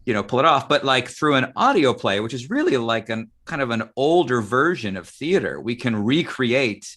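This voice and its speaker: A man's voice: medium pitch, sing-song, normal speed, fairly bright tone. A man hosting a casual discussion, speaking conversationally and building his thoughts in short pieces. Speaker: theatre company host. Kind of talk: casual live online discussion.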